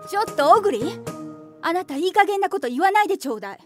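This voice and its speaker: An actress playing a stern, sharp-tongued wife who speaks in a curt, scolding manner in a voice drama. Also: female voice, extremely high pitch, very animated, fast talker, soft background music. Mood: angry, scolding. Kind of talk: voice drama acting.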